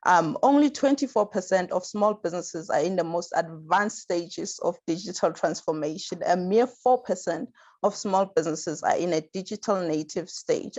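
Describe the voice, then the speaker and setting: A woman's voice: lowish voice, a little mellow. A woman moderating an online panel, reading out introductions and figures with a few stumbles. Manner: reads introductions and statistics, some stumbles. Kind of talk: hosting a webinar panel. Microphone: video call.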